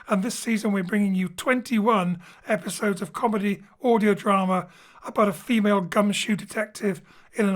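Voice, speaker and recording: A man from Wales, director and co-writer, introducing the company in a friendly, jokey way and mixing in Welsh phrases, from a home studio. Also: high-pitched. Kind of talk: scripted introduction to a show.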